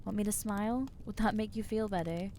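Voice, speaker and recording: A woman voicing an anxious, guilt-ridden new vampire in an ASMR roleplay, halting and self-doubting, with wry exasperation. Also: medium pitch, dark tone, slight background noise, natural voice. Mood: bitter, wary. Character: anxious newly turned female vampire, guilty and overwhelmed. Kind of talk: ASMR roleplay acting to the listener.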